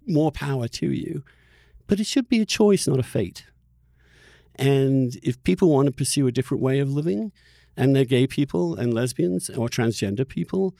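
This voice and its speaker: A man's voice: mid-range voice, gravelly, mellow, no echo, studio quality. A middle-aged man hosting an opinionated interview conversation, arguing his points emphatically with the odd chuckle. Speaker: podcast host.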